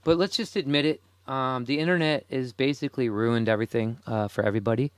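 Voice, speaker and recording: A man's voice: medium pitch, fast, clear, resonant tone, no echo. A man in his forties hosting a solo podcast, rambling casually and chuckling to himself between thoughts. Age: 40s. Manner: rambling, self-amused, chuckles often. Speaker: podcast host.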